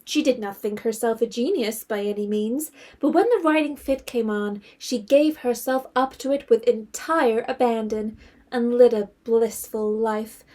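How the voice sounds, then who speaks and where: A woman narrating an audio drama as a composed literary storyteller, in a measured manner. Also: fairly high pitch, darkish tone. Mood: composed storytelling.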